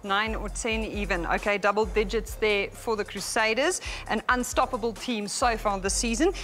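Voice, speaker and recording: A woman's voice: mid-range voice, normal speed, fairly bright tone, faint music behind. A woman in her thirties with a South African accent, hosting a rugby show in a TV studio in an upbeat, bubbly way. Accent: South African English. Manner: bubbly, upbeat presenter patter. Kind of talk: studio presenting and interviewing.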